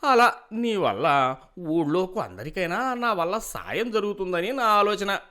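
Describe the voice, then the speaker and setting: A man voices a scheming older village president in a moral tale. He talks in persuasive, self-justifying turns, feigning pity. The pitch sits fairly high for a man and swings widely. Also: expressive, measured pace, sharp tone, exaggerated voice. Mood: smooth persuading. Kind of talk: dramatized moral story dialogue.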